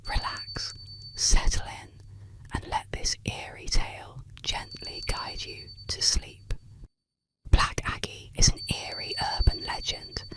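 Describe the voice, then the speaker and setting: A woman whispers an ASMR story right up close to the microphone. She reads eerie legends from a script in short, deliberate phrases, leaving soft pauses between them. The whole delivery stays hushed and breathy, with almost no voiced tone. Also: rough recording.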